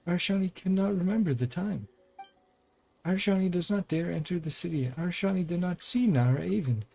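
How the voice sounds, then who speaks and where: A woman voicing a small, gentle forest spirit in a video game, earnest and apologetic, speaking of itself in the third person. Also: fairly low pitch, brisk, vocal fry, breathy edge, pitched above the performer's own voice.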